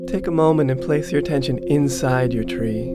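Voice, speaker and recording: A man narrating a guided meditation in a soothing, measured, instructional manner. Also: medium pitch. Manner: soothing, measured, instructional, repeats phrases slowly. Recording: prominent meditation music with singing bowls. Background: meditation music, singing bowl tones.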